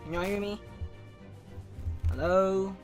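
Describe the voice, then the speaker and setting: A game livestreamer with a hoarse, partly lost voice, chatting casually and excitably with viewers. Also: medium pitch, slow pace, soft background music. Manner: excitable, exclaiming greetings to chat. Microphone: close microphone.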